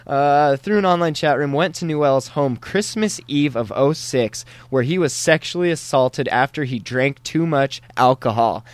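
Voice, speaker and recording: A man's voice: on the high side, normal speed, hardly any pauses, clear, resonant tone, dry recording. A man hosting a podcast, reading news stories and riffing on them with crude, irreverent jokes and frequent laughter. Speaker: podcast host.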